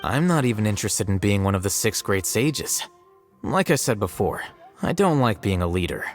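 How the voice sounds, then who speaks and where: An actor playing an aloof, blunt young scholar in a video game, deadpan, matter-of-fact and dismissive. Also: male voice, lowish voice, moderate pace. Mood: dry, dismissive. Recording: faint music behind the voice.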